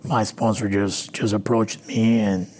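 A man from Venezuela, a native Spanish speaker with a Latin American accent, telling his recovery story haltingly in an interview. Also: fairly low pitch, little pitch movement, darkish tone. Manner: halting, with frequent restarts and fillers. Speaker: interview guest. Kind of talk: personal recovery story, conversational.

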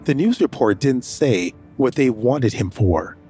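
A man's voice: mid-range voice, expressive, measured pace, mellow, soft background music, close to an everyday voice. An actor narrating as a nervous man hiding his sick brother, hesitant and anxious in his dialogue. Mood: steady narration. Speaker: first-person narrator. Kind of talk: audio drama narration.

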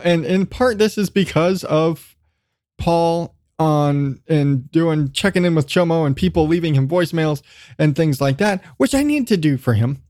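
A man with a high-pitched voice talks like a casual solo podcast host. He rambles in a self-deprecating, loosely profane way. The sound is dry and close, with no room echo. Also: darkish tone.

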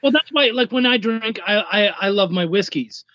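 High-pitched man on a muffled call-in line. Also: animated, moderate pace, pushed and bright, slight background noise. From Canada. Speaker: podcast guest, comic writer and editor. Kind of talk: casual conversational interview. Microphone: remote call-in.

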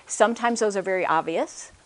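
A soft-spoken woman giving a conference workshop talk into a microphone, hesitant, with many restarts and check-ins with the audience. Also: medium pitch, fairly quick. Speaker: workshop speaker. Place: conference room. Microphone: handheld or stand microphone.

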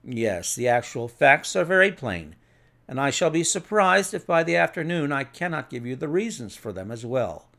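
A man voicing a cool, methodical detective in an audiobook, measured, precise and authoritative. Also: medium pitch, big pitch swings, vocal fry, pushed and bright, exaggerated voice. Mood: assured, explanatory. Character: cool, methodical male detective, assured and analytical.

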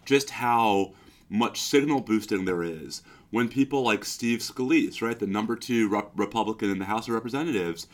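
A man co-hosting a legal podcast, hoarse and sick, talking in a weary, halting way with many restarts. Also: lowish voice. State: hoarse from illness. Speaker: podcast co-host. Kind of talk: casual legal podcast chat.

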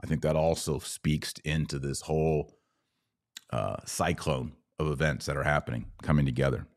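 A man's voice: a bass voice, fairly even intonation, normal speed, dry recording, studio quality. A man hosting a podcast livestream, conversationally reading out viewer comments and responding to them. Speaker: podcast host. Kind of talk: casual live-stream commentary, reading viewer comments aloud.

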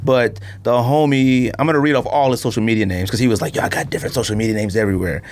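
A man hosting a podcast in casual chat, rambling and slangy as he tells animated stories in a studio. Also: mid-range voice, rapid-fire, a little hiss or hum. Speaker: sneaker podcast host. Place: podcast recording room, in studio.

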